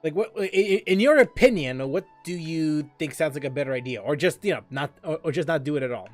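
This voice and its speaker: A gaming streamer giving casual let's-play commentary, talking in a halting, stammering way full of restarts and fillers. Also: mid-range voice, expressive, faint music behind.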